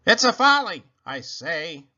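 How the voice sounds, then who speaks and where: Disapproving storybook lady, male reader: formal, emphatic, sharp, with big swings in pitch. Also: very animated, unhurried, muffled recording, heavily acted. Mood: indignant. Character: stern, disapproving, pompous older spinster neighbor lady.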